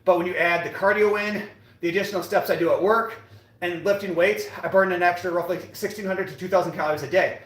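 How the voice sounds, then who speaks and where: A man's voice: sits high, fairly even intonation, quick pace, fairly bright tone. An American man in his late thirties chatting casually to livestream viewers during a workout, with a motivational, repetitive way of talking. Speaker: fitness live streamer. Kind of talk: casual livestream chat while working out. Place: indoor gym. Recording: echoey room.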